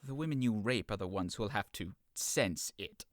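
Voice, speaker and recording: A man's voice: fairly low pitch, lively intonation, moderate pace, no room sound, studio quality, lightly acted. Gregory, a man playing a mocking young servant. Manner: teasing, mocking. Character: mocking sharp-tongued young male servant. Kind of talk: audio drama acting.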